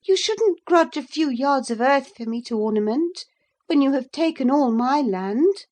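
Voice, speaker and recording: A woman's voice: animated, a little slow, darkish tone. A woman reading aloud, voicing a reckless young woman who boldly taunts the master of the house. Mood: defiant. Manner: bold, high-pitched, taunting.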